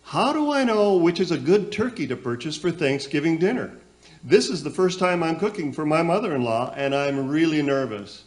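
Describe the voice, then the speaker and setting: Man acting a flustered high-voiced nephew sidekick. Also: expressive, moderate pace, lightly acted. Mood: polite, earnest. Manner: hesitant, fussy, correcting. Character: earnest, flustered young nephew, put-upon radio sidekick. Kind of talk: comic community-theater radio play.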